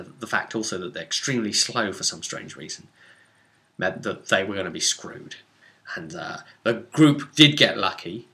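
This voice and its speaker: A man recounting a tabletop role-playing session as game master, in a casual, rambling, animated storytelling way. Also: mid-range voice.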